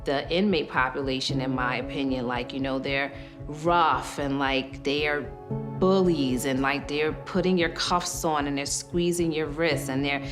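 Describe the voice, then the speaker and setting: A woman's voice: low voice, fairly even intonation, unhurried. A woman from Queens, New York, speaking earnestly and candidly in a documentary interview. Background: music.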